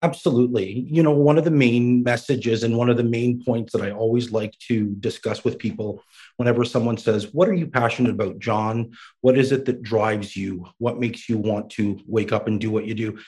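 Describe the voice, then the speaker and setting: A man of middling pitch answers interview questions on a podcast. He speaks in a thoughtful, measured way and often repeats a word as he finds his footing. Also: steady tone, mellow. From Iraq, raised in Canada. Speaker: developmental service worker, guest.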